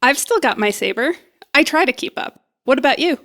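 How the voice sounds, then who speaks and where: A woman's voice: high-pitched, animated, brisk, fairly bright tone. A woman playing a nervous, eager young woman in an audio drama, rambling and hesitant as she bluffs her way along. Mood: boastful, bluffing. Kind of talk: naturalistic audio drama acting.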